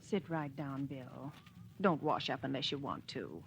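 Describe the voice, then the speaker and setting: An actress playing a placid, homely wife who evades arguments, in a 1940s radio drama. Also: female voice, rumbling low, expressive, measured pace, vocal fry, exaggerated voice. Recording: distant, faintly noisy 1940s radio recording.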